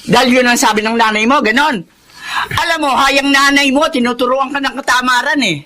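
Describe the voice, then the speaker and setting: Shrill, domineering scolding aunt. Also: male voice, fairly even intonation, fairly quick, bright, forceful tone, slight background noise. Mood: bossy, scolding. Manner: bossy, nagging. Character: domineering, scolding aunt ordering children around. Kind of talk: radio drama acting.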